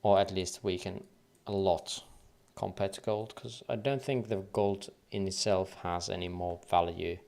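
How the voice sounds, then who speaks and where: A deep-voiced man from Finland with a light Finnish accent and a gravelly, creaky edge. He talks casually through trading charts on a livestream. He thinks aloud and mutters wave counts under his breath. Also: steady tone, unhurried, hardly any pauses, a little mellow.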